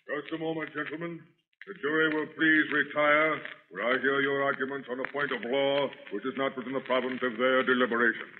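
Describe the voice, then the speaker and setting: An actor in a 1930s radio drama playing a stern judge, formal and authoritative. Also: male voice, medium pitch, level tone, a little fast, natural voice. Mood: measured. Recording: tinny 1930s radio, faint noise.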